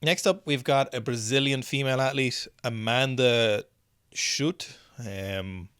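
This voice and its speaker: A man's voice: mid-range voice, takes it slowly, fairly bright tone, smooth, no echo, studio quality. A man hosting a strength sports news show, chatting casually through video clips and thinking aloud as he goes.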